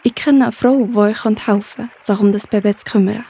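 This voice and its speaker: A woman's voice: mid-range voice, steady tone, a little fast, gravelly, mellow, slightly rough. A woman playing a respectful, helpful young girl in a children's audio drama. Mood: polite, earnest. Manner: respectful, formal address.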